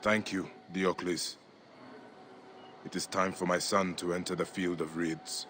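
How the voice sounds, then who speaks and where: A man's voice: low-pitched, level tone, unhurried, background noise. An actor playing an intense, emotional, grieving and vengeful Egyptian warrior father in a video game. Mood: solemn. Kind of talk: dramatic video game acting. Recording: echoey reverberant hall, loud music behind.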